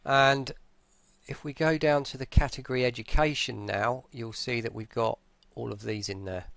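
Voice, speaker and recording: A man presenting a software tutorial in a casual, informal way, explaining hesitantly step by step. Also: mid-range voice, fairly even intonation, moderate pace, creaky, no room sound. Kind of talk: casual screen-recorded tutorial narration.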